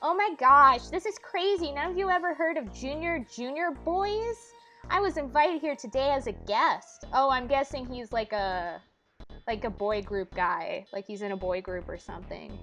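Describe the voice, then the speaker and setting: A woman's voice: high-pitched, normal speed, sharp tone. A woman livestreaming a visual novel game, chatting casually and reading lines aloud with giggly, rambling asides. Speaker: streamer playing a visual novel. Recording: music playing behind. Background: video game music.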